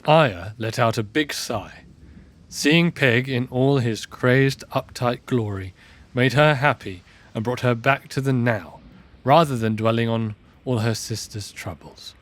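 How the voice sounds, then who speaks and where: A man narrating a scripted fantasy audio drama in a measured storytelling manner. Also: medium pitch.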